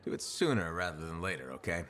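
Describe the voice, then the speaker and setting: A man's voice: low-pitched, lively intonation, quick pace, fairly bright tone. A man playing a confident, wry young hero in a video game, talking in a dry, level-headed way. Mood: dry, firm. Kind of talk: video game cutscene acting.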